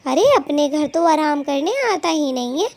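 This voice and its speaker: A woman's voice: high-pitched, moderate pace, a little mellow. A woman voicing a gossipy, scheming female bird thief who dotes on her husband, in a dramatic, exclamatory children's cartoon manner. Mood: spiteful, scolding. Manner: dramatic, exclamatory, scolding and fawning. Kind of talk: Hindi children's cartoon dialogue.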